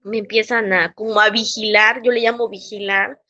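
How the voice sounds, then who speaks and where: A woman's voice: medium pitch, fairly even intonation, pushed and bright, muffled recording. A Mexican woman recounting a painful workplace experience in an interview, acting out what others said and often restarting her sentences. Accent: Mexican Spanish. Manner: acts out quoted voices, halting restarts. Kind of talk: emotional personal testimony.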